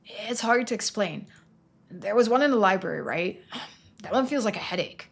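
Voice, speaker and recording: A woman voicing a troubled young man trapped in his town, speaking haltingly and sometimes in a near whisper, for a story game. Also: mid-range voice, lively intonation, slightly rough. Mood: anxious, haunted.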